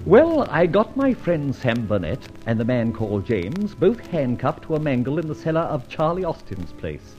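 A muffled old radio serial. a suave gentleman adventurer, jaunty and self-assured, his slightly high voice swooping widely. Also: huge pitch swings, normal speed, few pauses. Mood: confiding, confident. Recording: muffled old radio recording, faint music.

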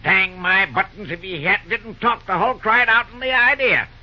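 A man's voice: high voice, normal speed, sharp tone, muffled recording, full character voice. An actor playing a hospitable, folksy backwoods railroad man with a rustic drawl in an old-time radio drama. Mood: hearty, admiring.

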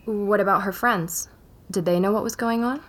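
A woman's voice: medium pitch, moderate pace, close to an everyday voice. An actress playing an inquisitive young journalist, probing and composed as she interviews and narrates in an audio drama podcast. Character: inquisitive young woman journalist investigating a disappearance.

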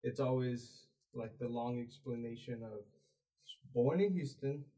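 A man's voice: mid-range voice, unhurried, warm tone, poor audio. A young man raised in Mexico, a podcast guest chatting casually, rambling with many fillers and restarts. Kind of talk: casual interview chat.

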